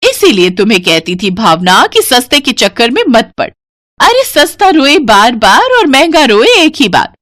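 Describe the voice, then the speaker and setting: A woman voicing an elder daughter-in-law who lectures firmly, pitched above her narrating voice. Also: sing-song, normal speed, few pauses, fairly bright tone, no echo, clean studio sound. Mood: lecturing.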